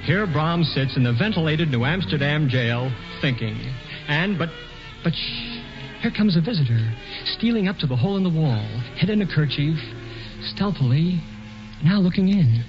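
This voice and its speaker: An actor playing a wry storyteller who guides the listener through the scene in theatrical, confiding tones, in a 1940s radio drama. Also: male voice, fairly high pitch, lively intonation, normal speed, lightly acted. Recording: muffled 1940s radio broadcast with music.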